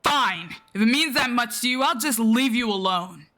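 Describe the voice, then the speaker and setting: A woman's voice: a little high, lively intonation, normal speed, fairly bright tone, pitched above the performer's own voice. Woman doing Kraken, a touchy young squid boy. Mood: hurt, angry. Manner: hesitant, defensive. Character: Kraken, nervous, easily offended young male inkling.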